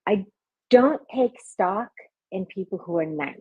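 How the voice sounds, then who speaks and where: A woman with a gentle, soothing voice and a breathy, husky edge explains her hypnosis work in relaxed interview talk. Her pitch sits in an ordinary middle range for a woman. She laughs easily and sometimes sinks into a hushed, low murmur. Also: unhurried, phone-quality sound. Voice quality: soft, soothing. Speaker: hypnotist and party performer. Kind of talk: conversational interview answers.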